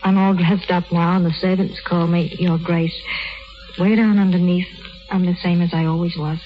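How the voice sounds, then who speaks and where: A determined, plain-spoken duchess played by a woman in a vintage radio drama. She speaks earnestly and haltingly, with emotion close to the surface. The old broadcast sound is muffled, with music faintly behind it. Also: on the low side, little pitch movement, lightly acted. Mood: anxious, wistful. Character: plain-spoken, lovelorn, determined young duchess of common birth. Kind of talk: 1930s radio acting.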